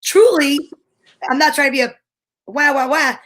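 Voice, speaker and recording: High-pitched woman chatting over some noise. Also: moderate pace, fairly bright tone. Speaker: radio show host. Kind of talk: casual chat.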